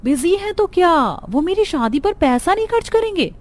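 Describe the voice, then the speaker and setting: A woman's voice: very high-pitched, very animated, moderate pace. A woman voicing a spoiled, bossy rich daughter in a Hindi moral-story cartoon. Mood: demanding. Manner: bossy, insistent. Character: spoiled, demanding rich young bride-to-be daughter.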